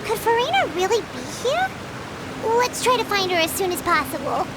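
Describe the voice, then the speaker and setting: A woman voicing a small cheerful know-it-all fairy companion with a squeaky, childlike voice, in an English game dub. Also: big pitch swings, moderate pace, noisy background. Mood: earnest. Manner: childlike, squeaky, speaks of herself in third person.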